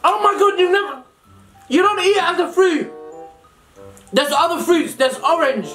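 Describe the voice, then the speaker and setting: High-voiced young woman teasing, echoey room. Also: animated, moderate pace, fairly bright tone. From Somalia. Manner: playful, mock-indignant teasing; talks while eating. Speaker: vlogger host. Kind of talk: casual video chat with a stranger. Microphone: webcam video call. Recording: echoey room with background music.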